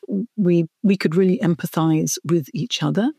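A woman's voice: on the low side, normal speed, vocal fry, mellow, breathy edge, dry recording, studio quality. A middle-aged woman from Hong Kong, a career coach, telling her life story in an interview, earnest and reflective, with small restarts.

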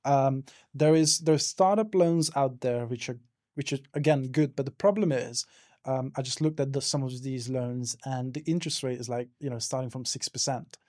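Mellow-voiced man, dry sound. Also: medium pitch, moderate pace, dry recording. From Hungary. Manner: hesitant, many fillers and restarts. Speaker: podcast guest. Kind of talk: casual interview answers.

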